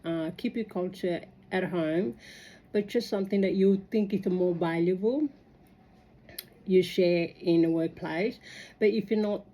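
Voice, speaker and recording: A woman with a fairly low, soft-edged mellow voice speaks English as a second language. She talks casually and reflectively about her own experience, earnest and halting, often circling back as she rambles. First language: non-native English speaker. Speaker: migrant sharing personal experience.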